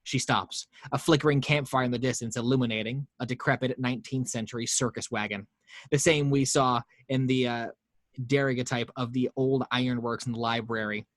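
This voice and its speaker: A man's voice: mid-range voice, brisk, no echo. Man narrating a horror script reading. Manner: steady storytelling read with small slips. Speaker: narrator reading action lines. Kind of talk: table read narration.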